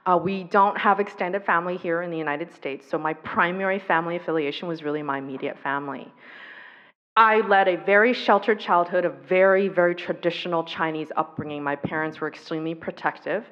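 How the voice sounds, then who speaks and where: Woman keynote speaker, raised on the US East Coast with Taiwanese as her first language; earnest and reflective, fairly low voice, dull hall recording. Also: fairly even intonation. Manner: reflective, earnest, with pauses and fillers. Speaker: speaker on violence prevention. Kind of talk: personal keynote talk.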